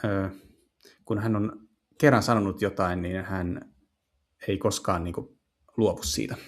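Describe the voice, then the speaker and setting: A man doing a casual let's play, translating as he goes and haltingly searching for words. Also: deep voice, fairly even intonation. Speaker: streamer playing and translating visual novels.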